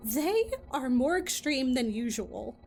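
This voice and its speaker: A woman's voice: sits high, animated, slow, a little mellow, soft background music, full character voice. A woman playing a sweet, needy, clinging emotion spirit in an audio drama, theatrical and pleading. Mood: timid, uneasy.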